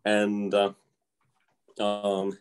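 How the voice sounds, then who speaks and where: A man's voice: on the low side, monotone, very slow, smooth. An older man, a retired math professor, introducing himself eagerly on a video call with lots of hesitations. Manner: enthusiastic, hesitant, many fillers. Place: indoor room. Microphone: laptop on a video call.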